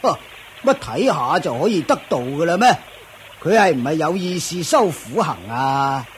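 Strict, self-important ascetic master, radio drama; high, animated delivery, lecturing. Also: male voice, a little hiss or hum. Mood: musing. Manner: lecturing, punctuated with drawn-out fillers and grunts. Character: pompous, strict Taoist-style master of a forest of ascetics. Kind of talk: Cantonese Buddhist radio drama acting.

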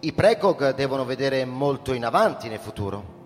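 An actor playing an inquisitive, probing newcomer in radio drama dialogue, with a conversational manner. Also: male voice, mid-range voice, big pitch swings, normal speed, natural voice. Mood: curious. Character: inquisitive, probing male newcomer questioning a police chief. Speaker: actor in supporting part. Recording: reverberant hall, faint music behind. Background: faint music.

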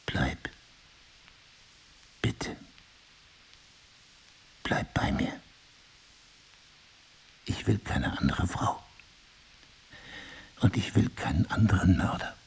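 An actor playing a remorseful middle-aged husband in an audio drama, speaking in a husky, gravelly, deliberate and intimate way. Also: male voice, deep voice, a little mellow, exaggerated voice, poor audio. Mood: pleading. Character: remorseful, pleading husband, brooding and passionate.

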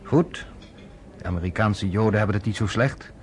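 An actor playing a wry, skeptical American friend in a radio drama, teasing and lecturing. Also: male voice, on the low side, normal speed, close to an everyday voice.

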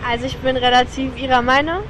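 A woman's voice: high voice, level tone, fast talker, noisy background. A woman being interviewed, sharing her views in casual conversation. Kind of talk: casual opinion chat.

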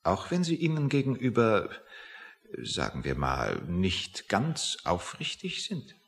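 A sly, thin-skinned official in a radio satire. His lowish voice swoops to extremes and takes its time, coated in oily politeness with emphatic repeats. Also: huge pitch swings, slow pace, creaky, heavily acted. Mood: flustered, defensive. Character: sly, touchy male official who cannot stand up. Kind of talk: German radio play acting.

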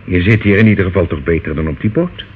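Radio drama uncle, male actor: low-voiced, glib, condescending, falsely comforting; narrow, noisy old recording. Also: quick pace, natural voice. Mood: smug reassurance. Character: smooth, devious older uncle, soothing and patronising.

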